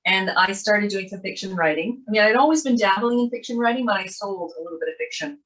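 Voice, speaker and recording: A woman's voice: medium pitch, fast, fairly bright tone, rough recording. An older woman from Canada, a guest author, chatting in an interview and telling her life story in a rambling way with asides, over a video call. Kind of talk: casual interview chat.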